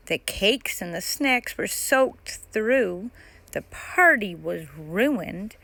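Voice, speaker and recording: A woman reads a children's picture book aloud in a fairly high voice. Her pace is very slow and measured, and the sound is dry and close, with little room to it. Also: fairly bright tone, no room sound. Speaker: storytime host reading a picture book. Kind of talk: read-aloud children's story narration.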